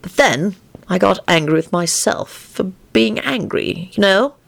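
A woman voicing a mild, pensive young male wizard in a story, calm and deliberate in manner. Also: mid-range voice, vocal fry, no echo.

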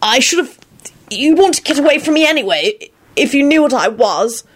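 A haunted young wizard from a storybook, played by a woman reader, grim and earnest. He sounds stubborn and protective, in a fairly low register for a female voice. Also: animated, moderate pace, slightly rough, full character voice. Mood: miserable.

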